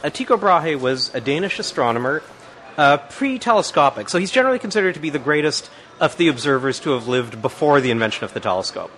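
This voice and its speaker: A man's voice: a little high, expressive, fast, fairly bright tone. A man answering as a guest expert, explaining in a thinking-aloud way with many restarts and fillers.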